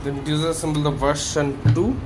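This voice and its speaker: A man hosting a hands-on tech teardown, speaking in short phrases with halting pauses. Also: a little high, fairly even intonation, very noisy. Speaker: tech video host. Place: small indoor workshop room.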